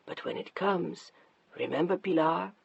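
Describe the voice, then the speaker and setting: A narrator voicing a middle-aged husband who speaks low, calm and unyielding, in a slightly muffled dry recording. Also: male voice, a little slow, creaky, breathy edge, no echo, full character voice. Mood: steely calm. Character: stern controlling husband, middle-aged, quiet and steely.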